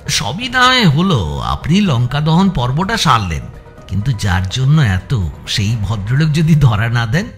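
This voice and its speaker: A man's voice: mid-range voice, very animated, a little slow, fairly bright tone, faint music behind. A male narrator voicing an earnest younger assistant who speaks politely and inquisitively to his boss in an audio story. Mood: doubtful. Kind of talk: Bengali audio story dramatization.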